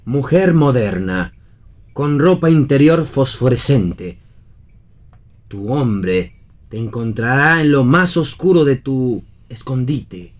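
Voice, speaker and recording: A laconic man in a radio play. He is wry and unhurried, speaking very slowly at a medium male pitch. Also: expressive. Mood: mock advertising. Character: Javier, laconic, wry friend joking as an announcer. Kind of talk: read radio theatre.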